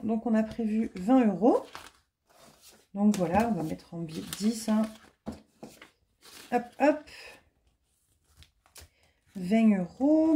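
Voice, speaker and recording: A woman in her late thirties chatting casually to camera at home about her monthly budget, laughing often and filling pauses with lots of hesitations. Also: a little high, warm tone. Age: 30s. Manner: chatty, self-deprecating, laughs often, many fillers. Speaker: budgeting vlogger. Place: home, indoors.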